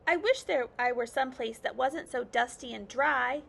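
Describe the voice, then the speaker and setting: Woman voicing a high-pitched, wishful young storybook girl. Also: normal speed, gravelly, a bit bright, breathy edge, heavily acted. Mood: discontented. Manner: grumbling, wishful. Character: restless, wistful young girl longing to be elsewhere.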